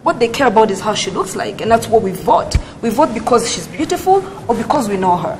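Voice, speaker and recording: A woman's voice: on the high side, very animated, a little fast, background noise. A young woman speaking earnestly as a guest on a talk panel, arguing a point. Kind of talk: panel discussion.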